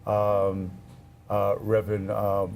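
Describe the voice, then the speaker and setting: A middle-aged man answering interview questions in a measured, halting conversational way. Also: fairly low pitch, completely flat delivery, vocal fry, crowd noise. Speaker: arts organization leader, interview guest. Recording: faint background noise.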